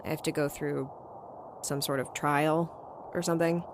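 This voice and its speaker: Low-voiced, wry young woman. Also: steady tone, moderate pace, background noise. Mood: troubled. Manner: wry, blunt, foul-mouthed. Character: Murphy, tough sardonic young woman, narrating her own story. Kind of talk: audio drama dialogue and first-person narration. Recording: music bed under the voice.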